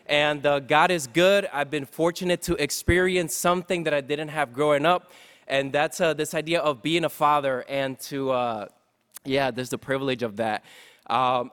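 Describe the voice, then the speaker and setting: A man's voice: fairly high pitch, fairly bright tone, clean tone. A man from Puerto Rico giving a casual, upbeat sermon to a congregation, talking conversationally with many fillers and restarts. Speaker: guest preacher. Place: church sanctuary. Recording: long church-hall reverb.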